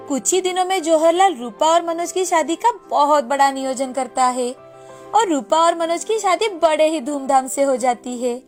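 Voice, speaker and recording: A woman's voice: high voice, a little mellow. A woman storyteller reading scripted moral tales as a narrator.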